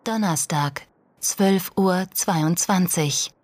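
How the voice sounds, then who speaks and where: A woman voicing an impersonal auction countdown announcer, measured and formal, in a radio drama. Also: fairly low pitch, darkish tone, slight background noise.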